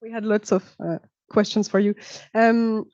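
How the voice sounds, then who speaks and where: A woman's voice: mid-range voice, flat delivery, moderate pace, a little mellow, dry recording. A woman interviewing a guest at a Q&A, asking questions hesitantly with frequent fillers. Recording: muffled dull sound.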